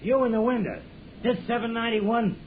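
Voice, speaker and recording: A man's voice: very high-pitched, expressive, fairly quick. An actor playing a blunt father who is moving his family into an apartment, in a curt, brusque manner, from a 1940s radio drama. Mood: gruff. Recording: tinny 1940s radio broadcast with noise.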